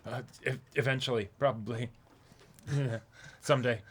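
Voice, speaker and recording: Bright, forceful man co-hosting a read-along. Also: mid-range voice, fairly even intonation, moderate pace. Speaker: co-host of a comic read-along stream. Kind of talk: casual chat and reading dialogue aloud.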